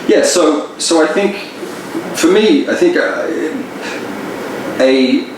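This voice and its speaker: A man's voice: a little high, animated, slow, slight background noise. A man, a filmmaker answering interview questions in a reflective, thinking-aloud way. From Australia. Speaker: filmmaker guest. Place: festival venue. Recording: roomy echo, distant mic, faint ambience.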